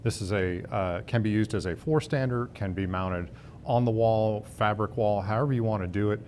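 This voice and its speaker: A man's voice: normal speed, a little mellow, slight background noise. A man in his fifties, a sales director, giving a fluent product rundown in a hotel demo room. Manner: fluent, confident sales pitch.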